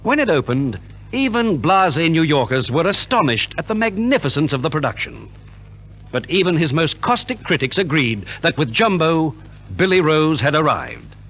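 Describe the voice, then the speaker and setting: Male vintage radio presenter, animated and fairly high-voiced, polished scripted delivery on an old 1950s transcription. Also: moderate pace. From Australia. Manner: polished, theatrical storytelling.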